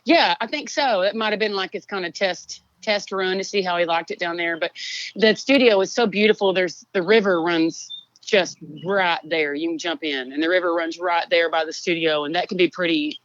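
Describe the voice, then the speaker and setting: Texan woman, low voice, laid-back rambling talker, dry muffled recording. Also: no echo. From Texas. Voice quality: low singing voice. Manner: relaxed, rambling with many fillers. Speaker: songwriter guest. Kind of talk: casual interview chat.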